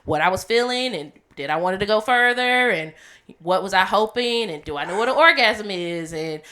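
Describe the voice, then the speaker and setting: Young adult woman from the Caribbean, podcast co-host, loud and lively voice with a bright tone. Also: mid-range voice, moderate pace. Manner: loud, animated and candid. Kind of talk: casual chat.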